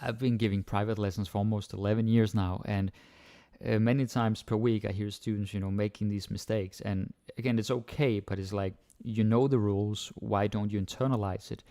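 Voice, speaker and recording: A man whose first language is Danish gives an informal language lesson in a slightly low voice. He rambles easily and leans on fillers as he acts out his students' thoughts. The sound is dry and close, with almost no room echo. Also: steady tone, brisk, dark tone. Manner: chatty, full of "like" and "you know", acts out learners' thoughts. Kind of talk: casual spoken lesson to camera.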